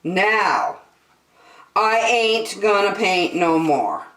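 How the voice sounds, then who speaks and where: A woman hosting a children's story time, reading a picture book in an animated, sing-song way. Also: medium pitch. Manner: slow, animated delivery addressed to young children. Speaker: children's storytime host and reader. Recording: roomy echo, distant microphone.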